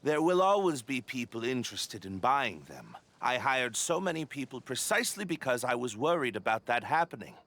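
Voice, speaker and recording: A man's voice: medium pitch, very animated, normal speed, hardly any pauses, fairly bright tone. A man playing a shifty, irritable, falsely polite expedition boss in a video game, with a nervous, evasive manner. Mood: smooth, probing.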